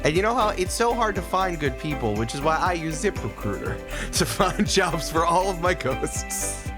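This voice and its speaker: Animated man riffing over clear music. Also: a little high, moderate pace. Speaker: guest player in a tabletop roleplaying podcast. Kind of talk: casual improvised tabletop roleplay chat. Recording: clear background music bed.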